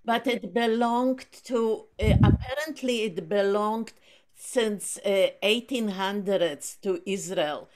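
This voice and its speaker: Slow-speaking Polish-accented woman. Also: medium pitch, a little mellow, slight background noise. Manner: halting, many restarts and fillers. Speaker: book group guest speaker. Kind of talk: casual video call chat.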